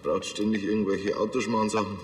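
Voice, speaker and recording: Man acting a low-voiced Bavarian garage owner. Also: flat delivery, a little fast, echoey room, in a large hall, a little hiss or hum, natural voice. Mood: easygoing. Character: laid-back male garage owner and wheeler-dealer. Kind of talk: German TV crime comedy acting.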